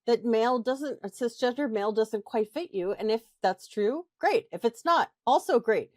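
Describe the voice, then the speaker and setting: A woman's voice: a little high, animated, moderate pace, a little mellow, no room sound, studio quality. A woman co-hosting a podcast, explaining her points at length and often checking in with "right?" and "okay?". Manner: explanatory, frequent "right?" tag questions. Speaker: podcast co-host. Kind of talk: casual podcast discussion.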